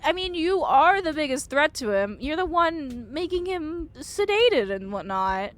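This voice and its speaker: A woman with a high voice streams a visual novel. She is excitable and expressive, quick to giggle. Her delivery moves between reading the text aloud and giving her own live commentary.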